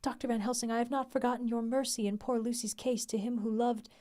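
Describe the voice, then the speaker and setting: A woman's voice: fairly high pitch, steady tone, fast talker, dark tone, pitched above the performer's own voice, close to an everyday voice. A woman voicing a devoted young wife who speaks earnestly and solemnly of her own death. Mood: solemn pleading.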